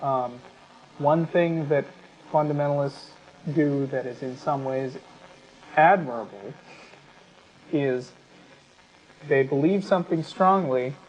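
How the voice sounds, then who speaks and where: A man with an American accent speaks as a talk show host, making an argument conversationally and often pausing mid-sentence. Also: medium pitch, a little mellow, dull audio.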